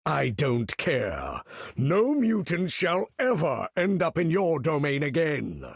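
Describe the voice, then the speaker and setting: An actor playing a proud, menacing mutant leader in a video game, speaking in a measured, theatrical, grandiose way with a husky voice. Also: male voice, fairly high pitch, sing-song, no echo, clean studio sound, full character voice. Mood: commanding, grave. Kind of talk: video game character voice lines.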